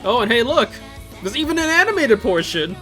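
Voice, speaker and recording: A man giving casual, enthusiastic video commentary in an excitable, conversational way, full of exclamations and asides. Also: very high voice, fairly quick. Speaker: video host commenting on visual novel openings. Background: music playing behind the voice.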